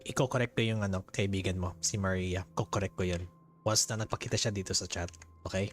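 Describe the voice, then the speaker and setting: A man's voice: deep voice, fairly even intonation, quick pace, faint music behind. A man with a Filipino accent, a native Tagalog speaker, giving casual, joking and somewhat hesitant game commentary as a streamer. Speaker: streamer playing and reacting to a game.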